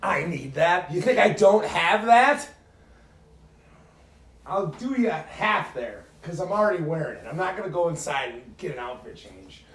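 A man with a booming voice in a casual chat as a guest, sardonic and argumentative, picked up by a phone in a garage. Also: animated, normal speed, fairly bright tone. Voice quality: booming, projecting voice. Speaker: podcast guest. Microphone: handheld phone, often held away.

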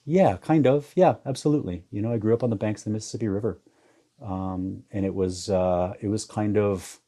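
A man in his forties with a low, dark and mellow voice. He talks in a flat, even way, halting and restarting with lots of fillers as he thinks aloud in an interview. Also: breathy edge. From Iowa, US Midwest. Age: 40s. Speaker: photographer guest. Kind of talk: reflective interview conversation.

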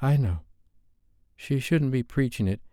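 Man doing an exasperated, higher-pitched woman. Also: unhurried, warm tone, no room sound, studio quality, natural voice. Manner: defensive, exasperated. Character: exasperated, hurt young woman, defensive with her boyfriend.

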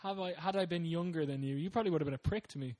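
A young man with an Irish accent, a podcast co-host telling stories loudly in casual chat among friends, laughing often. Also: a little high, very fast. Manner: animated, laughing storyteller with loud voice.

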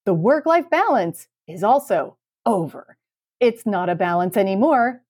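A woman's voice: medium pitch, expressive, unhurried, a little mellow, no room sound, clean studio sound. A woman co-hosting a podcast in casual comedic chat, talking in a sarcastic, emphatic way. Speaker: podcast co-host.

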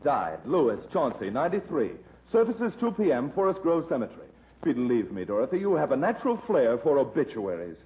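A man's voice: medium pitch, very animated, brisk, close to an everyday voice. A man playing a flustered, placating and sarcastic newspaper editor in a screwball radio comedy. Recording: muffled live stage recording.

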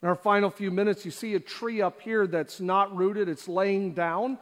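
A man with a very high voice preaching from the pulpit. He asks the congregation question after question and acts out the voices of the people he describes. His voice rings through a large church hall with a long echo. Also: fairly even intonation, normal speed. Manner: preaching with rhetorical questions and acted-out quotes. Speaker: pastor. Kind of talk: sermon. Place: church auditorium.

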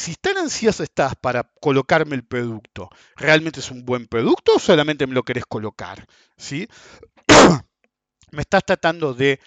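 A blunt, crude man in his forties with a Rioplatense Spanish accent, delivering a casual rant that swings up and down in pitch. He keeps asking "ok?" to drive his points home. The sound is dry and dull, like a lo-fi recording. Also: a bit bright, dry recording. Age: 40s. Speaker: market commentator. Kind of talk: casual ranting monologue.

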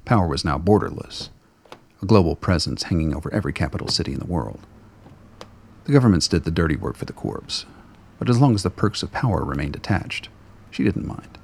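Very deep, gravelly male narrator. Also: sing-song, brisk, slight background noise. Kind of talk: scripted narration of a radio drama.

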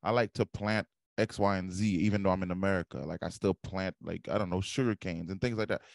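A man's voice: low voice, steady tone, brisk, vocal fry, no room sound, clean studio sound. A man hosting a podcast interview, explaining things at length in a long-winded way. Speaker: podcast host.

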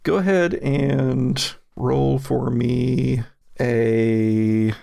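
A male game master narrates an improvised horror scene. His medium-pitched voice is thick with creak and sits dry and close. He halts and pauses mid-sentence as he builds suspense. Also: fairly even intonation, warm tone, no room sound.

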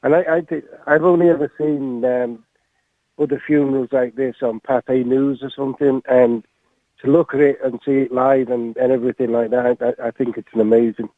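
A man's voice: medium pitch, steady tone, brisk, no room sound. Older English man on a phone line. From England. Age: 60s. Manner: hesitant, repeats words. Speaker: caller. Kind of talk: call-in radio talk.

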